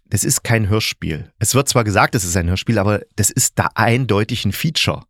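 A man's voice: fairly low pitch, lively intonation, a little fast, no echo, clean studio sound. A middle-aged man from East Germany giving a casual, opinionated review as a podcast host, speaking bluntly and colloquially and correcting himself as he thinks aloud.

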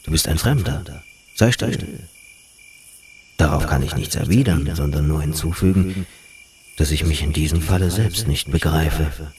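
Man playing a very deep-voiced, pensive traveller. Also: unhurried, a little mellow, a little hiss or hum. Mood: pensive, troubled. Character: reserved male foreign traveller, troubled, scrupulous observer. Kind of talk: literary radio play acting.

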